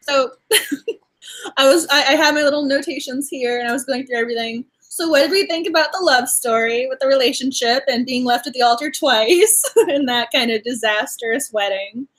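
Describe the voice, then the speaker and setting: A woman from Massachusetts talks through a cozy mystery with a friend, her voice sitting high and lively. She stumbles happily through half-finished thoughts, laughing and playing out what she felt while reading. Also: normal speed. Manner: animated, laughs often, acts out reactions. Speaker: book club host. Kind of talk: casual book discussion.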